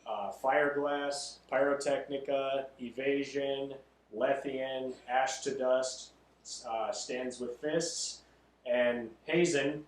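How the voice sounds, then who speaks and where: A man's voice: mid-range voice, fairly even intonation, slow pace. A man hosting a podcast, chatting casually and giving encouraging advice. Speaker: podcast host. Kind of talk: casual interview chat. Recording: roomy echo, mic at a distance.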